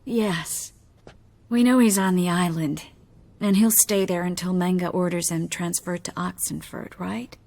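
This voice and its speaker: An actress playing a resolute young sorceress in a video game, wry and weary, with a measured, breathy delivery. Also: female voice, fairly low pitch, steady tone, a little mellow. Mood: conspiratorial.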